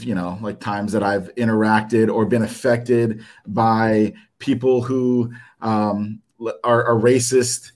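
A man in his thirties whose first language was Japanese, giving a nervous, halting personal testimony to a church congregation, full of fillers and restarts. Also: medium pitch, a little mellow. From United States. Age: 30s. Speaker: church member giving a talk.

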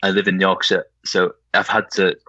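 Deep-voiced Welsh man, hesitant. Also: level tone, moderate pace, sharp tone, no room sound, lo-fi sound. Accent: Welsh, softened toward English. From Wales. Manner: hesitant, many fillers and restarts. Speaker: novelist guest. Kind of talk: casual conversation.

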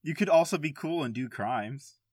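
A man's voice: fairly high pitch, expressive, moderate pace, a little mellow, dry recording, studio quality. A man on a gaming podcast, chatting casually and putting questions to the others. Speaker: video game podcast panelist. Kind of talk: casual chat, asking questions.

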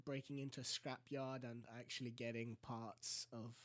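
A man's voice: little pitch movement, normal speed, no echo. A young man in his late teens from Greece with a Greek accent, co-hosting a podcast in casual chat and telling rambling, laughing stories. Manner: rambling, laughing storyteller, voice often drops low and soft. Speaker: podcast co-host.

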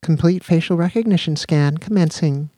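A bland office computer played by a man answers in an even, mechanical tone set higher than a normal speaking voice. It stays calm and flat whatever is said to it. The recording is dry and close. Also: normal speed, no echo, close to an everyday voice.

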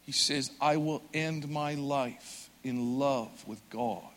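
Earnest preacher man in a reverberant hall. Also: mid-range voice. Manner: earnest, swells loud for emphasis then drops soft and slow. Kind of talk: sermon.

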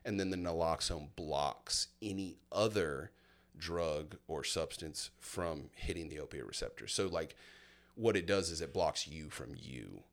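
A man's voice: rumbling low, expressive, unhurried, creaky. A man in his thirties telling his personal story in a casual, candid conversation, reflective and full of fillers. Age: 30s. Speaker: guest sharing his testimony.

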